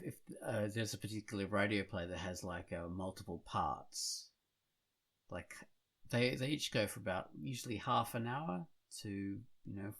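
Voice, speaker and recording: A man with a low, creaky voice hosts a casual podcast. He rambles along conversationally, thinking out loud as he talks. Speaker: podcast host.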